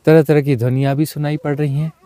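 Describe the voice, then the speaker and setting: A man leading a guided meditation as a teacher, speaking in unhurried, short, repeated phrases and mixing in some English words. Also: a little high, little pitch movement, dark tone, no echo. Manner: mixes English words into Hindi.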